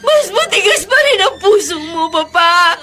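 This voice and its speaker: A woman's voice: extremely high pitch, very animated, a little slow, a loud crowd, full character voice. A woman playing a desperate, loving, ailing mother in a radio melodrama, pleading emotionally with a Filipino accent. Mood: pleading, tearful. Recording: loud dramatic music underneath.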